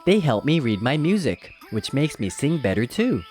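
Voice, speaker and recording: A storybook reader giving scripted children's narration in an expressive, measured rhyming rhythm. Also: medium pitch. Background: background music.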